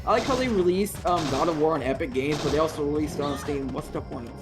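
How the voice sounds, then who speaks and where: A man in his thirties streaming a game and chatting with friends, excitable and quick to swear and laugh. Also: a little high, over music. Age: 30s. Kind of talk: casual gaming stream chat. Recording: game noise clearly behind.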